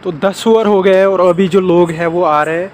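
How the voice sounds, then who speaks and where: A man's voice: high voice, fairly even intonation, moderate pace. A young man giving a casual walking-tour narration as a vlogger, filmed outdoors on a handheld phone. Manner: walking tour commentary, pointing things out. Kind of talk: casual travel vlog narration. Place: outdoors, metro station and stadium. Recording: loud street and crowd noise.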